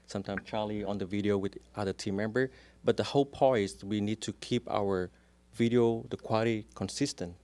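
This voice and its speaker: A man's voice: on the low side, darkish tone. A man with a strong Vietnamese accent, a real estate agent speaking as a guest in a casual interview, explaining things earnestly and step by step.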